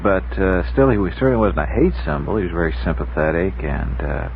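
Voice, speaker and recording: A man's voice: low-pitched, animated, brisk, gravelly. A man being interviewed on radio as a guest author, explaining things in a casual, hesitant way. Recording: dull vintage radio tape, faint noise.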